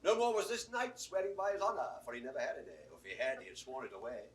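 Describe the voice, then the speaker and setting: An actor playing a cheeky, quibbling court jester in a classic Shakespeare audio drama, bantering with mock logic in a theatrical style. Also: male voice, medium pitch, huge pitch swings, quick pace.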